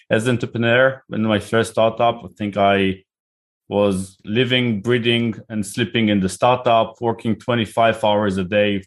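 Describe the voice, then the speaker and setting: A middle-aged man with an Israeli accent, a guest entrepreneur talking casually in an interview, often repeating words as he starts a thought. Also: on the low side. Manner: repeats words when starting, chatty and relaxed.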